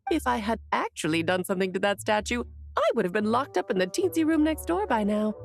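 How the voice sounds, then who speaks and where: A woman's voice: medium pitch, big pitch swings, fast, vocal fry, faint music behind. A woman playing a mischievous, doting lady mage in a video game, with an airy, theatrical way of talking. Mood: amused. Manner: airy, theatrical, dainty turns of phrase. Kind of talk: anime-style game voice acting.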